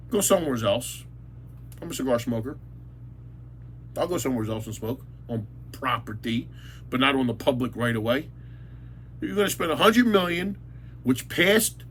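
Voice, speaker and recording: A man's voice: mid-range voice, slight background noise. A man ranting angrily about local matters, blunt and insulting, repeating words for emphasis. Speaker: local commentator. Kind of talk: angry ranting monologue.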